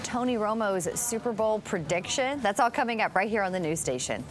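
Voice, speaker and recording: A woman's voice: mid-range voice, expressive, brisk, music in the background. A woman anchoring television news from an indoor studio. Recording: clear background noise.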